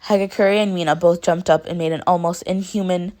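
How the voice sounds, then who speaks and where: A woman tells a piece of fan fiction aloud. Her voice sits a little low for a woman, and she reads as an expressive amateur storyteller. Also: fairly quick.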